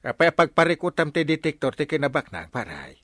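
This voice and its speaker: A man's voice: on the high side, sing-song, a little fast, fairly bright tone. A man playing a flustered, blustering village captain in an Ilocano radio comedy, with a stammering, hesitant delivery and an Ilocano accent. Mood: agitated. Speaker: comedy drama actor. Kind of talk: Philippine radio comedy drama acting.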